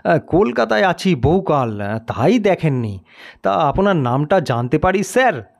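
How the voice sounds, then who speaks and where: An anxious male traveller from an audio storybook, performed by a man narrator. His pitch sits fairly high and moves around a great deal, and his manner is polite but touchy, ready to protest. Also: very animated, measured pace, vocal fry, exaggerated voice. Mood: placating. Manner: polite turning huffy and protesting. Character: indignant, anxious male night-time cart passenger telling his tale. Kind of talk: dramatised audio storybook character.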